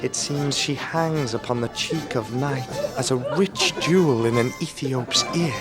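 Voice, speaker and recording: Man playing a lovestruck young noble, slow verse. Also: medium pitch, natural voice. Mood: awestruck, smitten. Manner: lyrical, wondering. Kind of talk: Shakespearean audio drama acting. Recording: music behind the voice.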